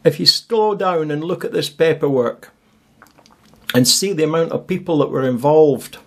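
Man, slightly high voice, casual voice-over, musing, wry asides. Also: moderate pace. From Scotland. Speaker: vlogger narrating his own footage.

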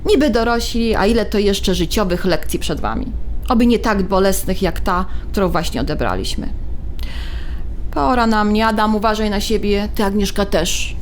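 A woman's voice: mid-range voice, normal speed, slight background noise, close to an everyday voice. An actress playing a reproachful older mother who lectures her grown children in an audio drama.

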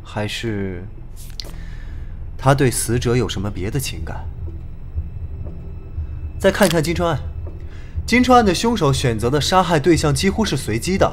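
A man's voice: medium pitch, sing-song, moderate pace, long pauses, slight background noise, close to an everyday voice. An actor playing a confident young police detective in an audio drama, laying out his reasoning in an articulate, methodical way. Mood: earnest, analytical. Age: young adult. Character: confident young male police detective, analytical, returned from abroad. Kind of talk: Chinese audio drama acting.

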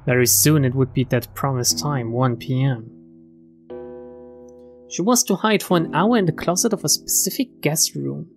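A man with a fairly high voice narrates a visual novel on stream. He reads the story expressively and drops in casual remarks of his own. Faint music plays behind him. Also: normal speed, gravelly.

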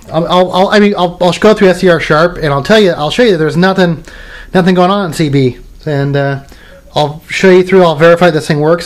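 Rambling man demoing radios at home. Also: a little high. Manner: rambling, many restarts and fillers. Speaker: radio hobbyist video maker. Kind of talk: casual show-and-tell demo. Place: home workbench room.

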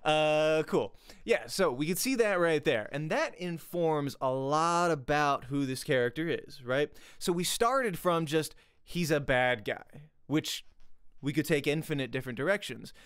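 Guy in his 30s teaching acting on stream, kinda high clear voice, super chatty, keeps checking in. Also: lively intonation, a little slow, a bit bright, dry recording, clean studio sound. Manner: conversational, checks in often with "right?". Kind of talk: casual livestream lesson on script analysis. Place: small home room. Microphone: close studio microphone with pop filter, headphones.

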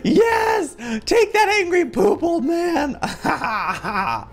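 A man in his twenties giving playful gameplay commentary, excitable, with exaggerated reactions. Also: very high voice, very slow. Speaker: gaming video host.

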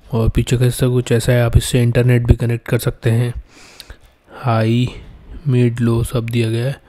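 A man's voice: medium pitch, completely flat delivery, moderate pace, dark tone. A man giving casual, step-by-step unboxing commentary in a plain explaining manner. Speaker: product unboxing presenter.

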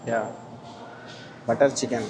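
A man's voice: mid-range voice, fairly even intonation, a little slow. Chatty man vlogging in a noisy mall. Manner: chatty, laughs often, asks questions. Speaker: vlog host. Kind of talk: casual travel vlog chat. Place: busy shopping mall.

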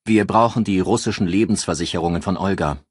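A man voicing a brisk, dryly polite male chief inspector in a crime audiobook. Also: low voice, husky, no room sound, studio quality. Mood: businesslike. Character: businesslike, wry male police chief inspector.

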